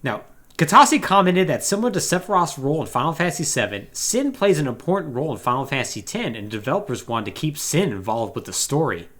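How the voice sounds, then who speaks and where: An animated podcast host talks casually about games. The pitch moves widely around a middle register, and the delivery often tips into sarcastic asides. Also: quick pace. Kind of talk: casual video game discussion.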